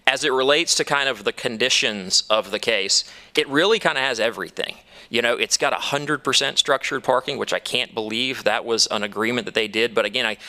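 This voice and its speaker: A man's voice: medium pitch, pushed and bright. Upbeat, persuasive man presenting at a council meeting. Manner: upbeat, persuasive, fillers and restarts. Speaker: developer's attorney at a council hearing. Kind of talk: formal presentation. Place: town council chamber.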